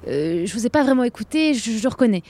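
A woman's voice: on the high side, expressive, fast, warm tone, natural voice. An actress playing a brusque, sarcastic young woman doctor in a French audio drama. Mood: awkward, polite. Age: young adult. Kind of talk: French audio fiction acting.

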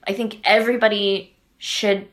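A woman's voice: mid-range voice, level tone, normal speed. A woman from the American Midwest, speaking as a podcast guest in a thoughtful, earnest way, often saying "like" and "right?". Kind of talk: reflective interview talk.

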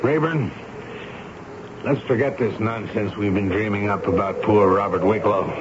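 A male actor as a troubled, commanding American army major in an old radio play. His voice sits fairly low and moves with grave deliberation. The broadcast sound is dull, with clear noise around him. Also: normal speed, close to an everyday voice. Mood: firm, chastened. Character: stern, troubled army major narrating his own story. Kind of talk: 1970s radio drama acting and narration.

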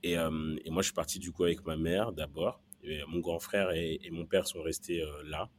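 A man with a very deep voice speaks as an interview guest. He tells a personal story haltingly, repeating words while he looks for the right phrasing. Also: steady tone, a little hiss or hum. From born in Central Africa, raised in Belgium from early childhood. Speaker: podcast guest.

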